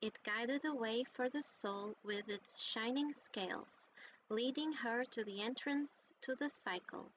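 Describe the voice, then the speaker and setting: A woman playing a gentle storyteller, reading a fairy tale with soft, measured delivery in a video game. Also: a little high, slight background noise, poor audio.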